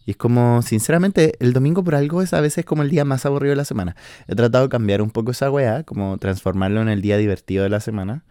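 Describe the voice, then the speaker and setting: A man's voice: mid-range voice, quick pace, dark tone, clear, resonant tone, dry recording, clean studio sound. A man in his twenties with a Chilean accent, chatting casually and slangily as a podcast host from his house in the countryside. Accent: Chilean Spanish. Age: 20s. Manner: chatty, slangy, swearing, filler-heavy. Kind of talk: casual monologue.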